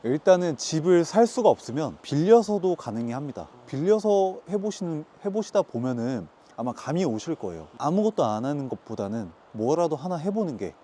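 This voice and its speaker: A man's voice: fairly high pitch, sing-song, brisk, hardly any pauses, warm tone, a little hiss or hum. A man in his twenties who owns a lodging business, explaining his work earnestly in a casual outdoor interview, often posing rhetorical questions. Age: 20s.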